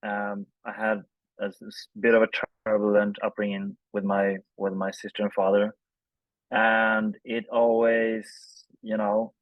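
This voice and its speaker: A man, telling his story casually and haltingly as an interview guest, with informal language. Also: lowish voice, monotone, dry recording, narrow, tinny audio. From Sweden. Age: 30s. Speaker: interview guest, film director.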